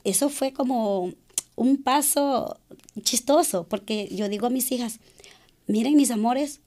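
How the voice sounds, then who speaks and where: A middle-aged woman from Ecuador, a guest in an interview, telling her own story hesitantly with many pauses and fillers. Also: fairly high pitch, dark tone. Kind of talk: personal account in an interview.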